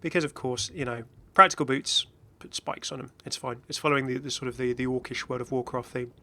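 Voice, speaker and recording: A man's voice: medium pitch, fairly even intonation, fast, creaky, pushed and bright. A man giving casual, off-the-cuff game commentary as a video host, chatty and rambling with enthusiastic asides.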